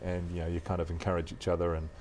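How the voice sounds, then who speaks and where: A middle-aged man with a deep voice and a New Zealand accent, chatting as a guest in a relaxed, modest, measured way. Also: a little hiss or hum, low-quality recording. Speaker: guest, news presenter. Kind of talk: relaxed interview chat.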